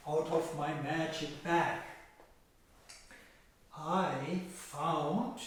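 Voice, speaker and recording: A man telling a story to young children in deliberate, simple phrases, often putting questions to his listeners. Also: a little high. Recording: very echoey room, far from the mic.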